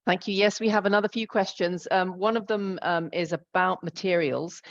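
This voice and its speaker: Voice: medium pitch, steady tone, moderate pace, gravelly. A moderator reading out audience questions, hesitant, with many fillers. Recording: dull lo-fi audio.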